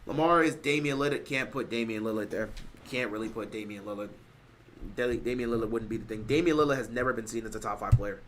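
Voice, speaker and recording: A man hosts a casual football talk stream, pressing his points with emphatic repetition. His voice is bright and forward, though he sounds worn out and is losing it. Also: a little high. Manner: emphatic, repeats points, rising to loud stresses. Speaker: sports show host. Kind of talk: casual sports talk, answering live chat.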